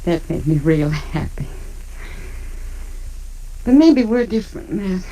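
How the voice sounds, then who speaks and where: An American actress playing a forgiving, proud and wistful frontier woman in an old radio western, gentle and plainspoken. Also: on the low side, lively intonation, takes it slowly. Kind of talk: 1950s radio western acting. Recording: noisy old radio recording.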